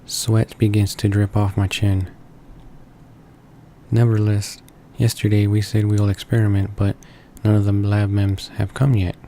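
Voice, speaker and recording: Male let's play narrator, deep-ish and even in intonation, halting read-aloud delivery of game text. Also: a little hiss or hum. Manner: stumbles and rereads words while reading. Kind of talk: reading a visual novel aloud. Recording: voice-over with game music behind.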